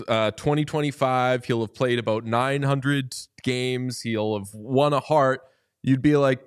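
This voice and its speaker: A man's voice: medium pitch, clean tone. A man hosting a podcast, chatting casually and animatedly about hockey, swearing freely. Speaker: podcast host. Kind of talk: casual sports chat.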